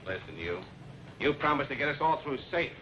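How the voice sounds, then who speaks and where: An actor playing a disgruntled, hot-tempered smuggled passenger in an old radio drama, complaining and confrontational. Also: male voice, mid-range voice, very animated, brisk, natural voice. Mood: angry, protesting. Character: disgruntled hot-tempered man being smuggled across the border. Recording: muffled, noisy old radio recording.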